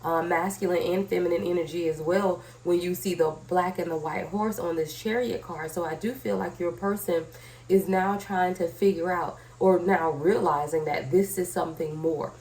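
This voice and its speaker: Low, slightly scratchy woman with a cold. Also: steady tone, normal speed, darkish tone. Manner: addresses viewers directly, often seeking agreement with short tag questions. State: sick with a cold. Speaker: tarot reader, channel host. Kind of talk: conversational tarot reading to viewers.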